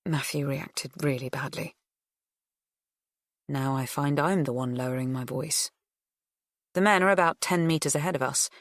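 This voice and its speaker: A woman's voice: deep voice, moderate pace, pauses often, dry recording, studio quality. A woman narrating first-person fiction as a scripted audiobook reading.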